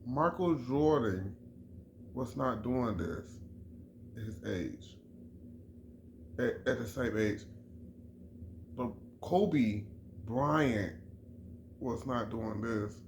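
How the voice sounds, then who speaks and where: Emphatic middle-aged man, slow and repetitive. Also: medium pitch, expressive, gravelly, a little hiss or hum. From Chicago, USA. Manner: emphatic and repetitive, restarting phrases. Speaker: vlogger. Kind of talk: casual sports opinion monologue.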